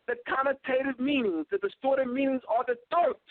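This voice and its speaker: A man giving an insistent talk, stopping often to fill pauses with ums and uhs, heard over a phone line. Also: extremely high pitch, dry recording. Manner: insistent, repetitive, many filler ums and uhs. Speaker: guest speaker. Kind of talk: call-in lecture, talking to a host. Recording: tinny phone line, dry.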